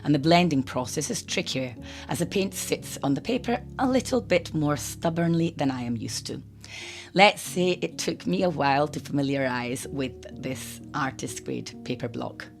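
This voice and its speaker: A woman hosting a watercolour channel, talking in a hoarse, croaky voice from a cold as she narrates a chatty tutorial voice-over. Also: low-pitched, normal speed. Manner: chatty, explanatory, lightly humorous. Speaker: art channel host. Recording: voice-over with guitar music behind.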